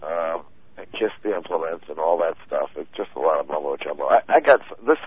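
A man's voice: rumbling low, animated, brisk, slightly rough, no room sound. A man who grew up speaking German, chatting casually as a guest, rambling with many fillers and laughs. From United States. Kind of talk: casual chat. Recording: tinny phone-line sound.

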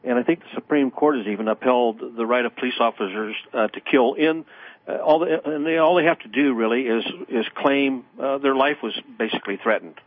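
A man calling in to a radio talk show, speaking haltingly with many ums and uhs. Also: mid-range voice, dry recording. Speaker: caller. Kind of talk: phone-in call. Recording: tinny phone line on radio.

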